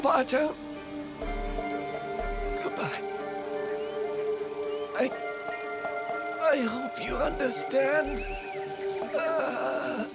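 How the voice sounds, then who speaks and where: A woman voicing a dying young boy in a video game, weak, halting, frightened and sorrowful. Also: sits high, gravelly, full character voice. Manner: faint, halting, slow. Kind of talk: cinematic video game acting. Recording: loud music over the voice.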